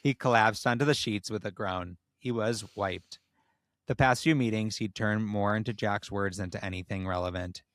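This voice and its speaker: Man, podcast co-host, lowish voice, dry close sound, playful, theatrical delivery. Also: fairly even intonation, normal speed, fairly bright tone, no echo. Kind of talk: casual chat and dramatic reading of a comic erotic story.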